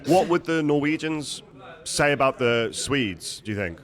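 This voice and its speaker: A man's voice: medium pitch, expressive, unhurried, fairly bright tone. A man hosting a casual walking interview, chatty and self-deprecating, asking his guest questions. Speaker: interview host.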